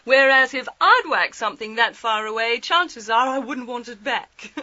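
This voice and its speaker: An actress playing a sharp lady detective in a radio mystery, politely needling with chatty, digressive, mock-innocent hesitations. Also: a little high, expressive, moderate pace, dull audio. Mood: breezy needling. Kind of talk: scripted radio mystery acting.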